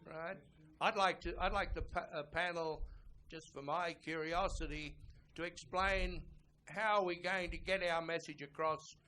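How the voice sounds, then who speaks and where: Bright, forceful, higher-voiced man. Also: fairly even intonation, a little slow, slight background noise. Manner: insistent, earnest. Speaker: audience questioner. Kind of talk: live question from the floor. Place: indoor conference session.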